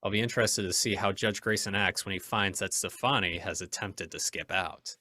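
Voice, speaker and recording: Radio drama masked avenger, played by a man: low, forceful, coolly menacing, deliberate and controlled. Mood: stern, commanding. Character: masked male vigilante, cool, menacing, self-possessed. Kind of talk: old-time radio drama acting.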